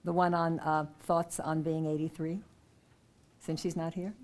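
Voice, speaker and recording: A woman hosting a poetry contest event in a village hall, reading poet bios and poems aloud in a clear, measured way. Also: low voice, little pitch movement, a little mellow. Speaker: contest host.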